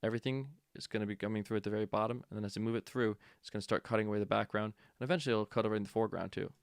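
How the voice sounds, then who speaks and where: A man's voice: on the low side, fairly even intonation, rapid-fire, creaky, dry recording, studio quality. A man teaching audio production in a casual tutorial, explaining step by step and thinking aloud as he goes.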